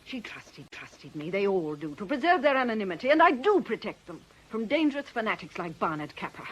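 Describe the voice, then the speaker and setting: A woman's voice: medium pitch, sing-song, a little fast. Bitter, imperious witch, swooping voice. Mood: furious, hurt. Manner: theatrical, cutting, grandly threatening. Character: bitter, imperious woman fortune-teller and coven leader, menacing. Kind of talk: radio drama acting. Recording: noisy old radio drama recording.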